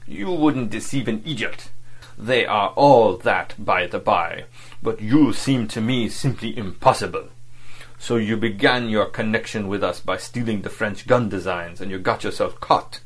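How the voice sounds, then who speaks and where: A man's voice: on the low side, measured pace, poor audio. A man voicing a cynical, mocking foreign diplomat who talks sharply and haughtily in a raised voice, in an audiobook. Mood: sneering.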